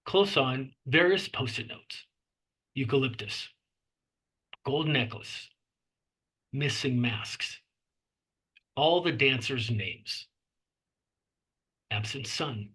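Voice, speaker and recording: A man's voice: medium pitch, lively intonation, slow pace, pauses often, sharp tone, slightly rough, low-quality recording. A man reading out scene descriptions as the narrator of a screenplay table read. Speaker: narrator reading stage directions.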